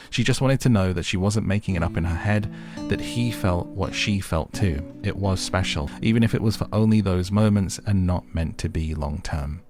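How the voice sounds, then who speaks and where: A man narrating an opinionated show recap as host, with wry, exasperated commentary and music underneath. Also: sits low.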